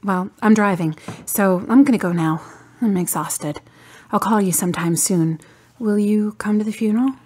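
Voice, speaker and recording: A woman acting a grieving, stoic adult daughter in a radio drama, restrained and husky. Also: medium pitch, normal speed, warm tone, close to an everyday voice. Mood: weary.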